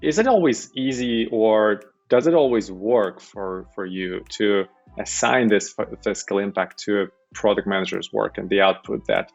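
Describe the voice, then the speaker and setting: A man's voice: fairly low pitch, normal speed, vocal fry, few pauses, highs cut off, soft background music. A man speaking as a panel guest, conversational, with hesitant phrasing and frequent tag questions seeking agreement. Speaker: panel guest, product management director.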